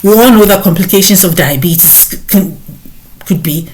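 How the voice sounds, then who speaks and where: A middle-aged woman from Ghana, a nurse hosting her own vlog, talking slowly with many hesitant pauses. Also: on the low side, a little mellow. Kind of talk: personal talk to camera.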